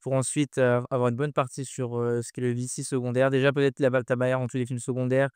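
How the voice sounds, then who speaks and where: Male podcast interviewer, medium pitch, crisp voice, casual conversational delivery, dry close sound. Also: flat delivery, quick pace, a little mellow, no room sound.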